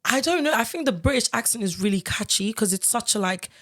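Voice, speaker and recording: A woman's voice: on the high side, animated, quick pace, fairly bright tone. A young woman, raised in Ireland, chatting casually as a podcast guest, with many fillers and frequent laughter. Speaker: guest, singer-songwriter.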